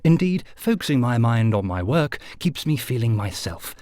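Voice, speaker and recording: A man voicing a proud, sharp-witted detective who is ill but defiant, speaking in a clipped, commanding way. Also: mid-range voice, very animated, normal speed, no echo, natural voice. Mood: insistent.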